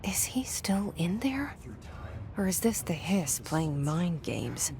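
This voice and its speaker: An actress playing a guarded, determined, wry young heroine in a video game. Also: female voice, on the low side, sing-song, slow, gravelly, warm tone, a little hiss or hum, exaggerated voice. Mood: intent, hushed. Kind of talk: video game voice acting, inner monologue.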